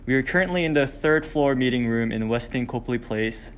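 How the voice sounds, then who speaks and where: A young man, a student, interviewing a classmate and asking his questions hesitantly, with many pauses and restarts. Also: mid-range voice, slight background noise. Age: young adult. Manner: hesitant, full of ums and restarts. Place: hotel meeting room.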